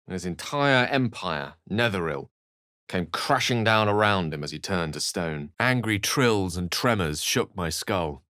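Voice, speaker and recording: A learned wizard from a fantasy game, speaking in a lowish register. He is articulate and erudite, with a theatrical turn to his phrasing, and the recording is close and dry. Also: animated, unhurried, pushed and bright, clean tone, dry recording, full character voice. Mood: grave, brooding. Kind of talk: video game character acting and narration.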